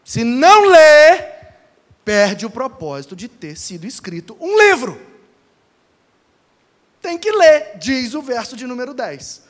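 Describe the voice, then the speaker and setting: A Brazilian man preaching as a pastor, colloquial and emphatic, with rhetorical pauses and dramatic storytelling. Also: very high voice, sing-song. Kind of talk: animated sermon with dramatic storytelling. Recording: long hall reverb.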